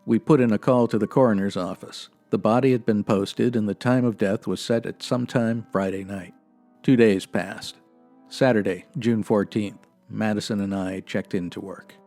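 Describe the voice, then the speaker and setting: An American man playing a stern, by-the-book police sergeant in a 1950s radio detective parody, clipped and deadpan. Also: on the low side, moderate pace, faint music behind, close to an everyday voice. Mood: dry narration. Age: middle-aged.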